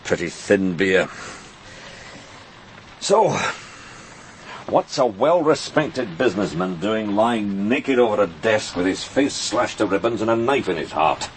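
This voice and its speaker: An actor playing a harried senior police detective, weary and dryly sardonic, in a naturalistic radio crime drama. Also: male voice, on the low side, lively intonation, a little slow, a little hiss or hum, poor audio.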